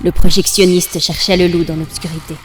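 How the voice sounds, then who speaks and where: A woman with a fairly low voice narrates a dark tale. She is solemn and measured, her words trailing long reverb over prominent music. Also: flat delivery. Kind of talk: scripted dark storytelling narration.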